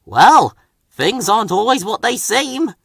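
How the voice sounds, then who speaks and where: A man's voice: high-pitched, big pitch swings, measured pace, vocal fry, a bit bright, breathy edge, no echo, clean studio sound, voice pushed up, full character voice. A man voicing a cheeky, sometimes huffy young male tank engine in a children's storybook. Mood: reassuring.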